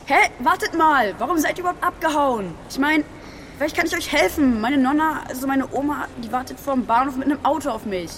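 A woman's voice: high-pitched, a little fast, slight background noise, lightly acted. A woman playing a friendly, helpful young boy in a children's radio play, chatty, eager and colloquial. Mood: eager, curious.

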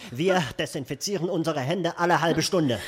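A man's voice: a little high, moderate pace, very noisy, natural voice. A man playing an officious security henchman in a children's radio play, curt and commanding. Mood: stern. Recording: loud music behind the voice.

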